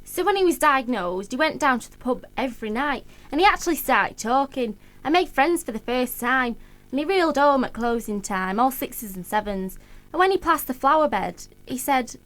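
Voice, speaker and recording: A woman's voice: high voice, lively intonation, moderate pace, fairly bright tone. A woman acting a matter-of-fact working-class gossip in a radio play, blunt and slangy. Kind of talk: colloquial radio play dialogue.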